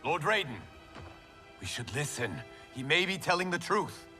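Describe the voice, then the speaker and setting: An actor playing an earnest, principled warrior monk in a video game, speaking formally. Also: male voice, fairly high pitch, big pitch swings, moderate pace. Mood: reasoning. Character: earnest young male warrior monk, loyal, principled, defiant. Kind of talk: video game cutscene acting. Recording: loud game music behind. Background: loud cinematic music.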